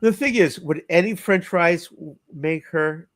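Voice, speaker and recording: A man's voice: on the high side, unhurried, dry recording, studio quality. A man hosting a live stream, trading teasing, profane, affectionate banter with friends. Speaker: streamer host. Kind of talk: casual chat.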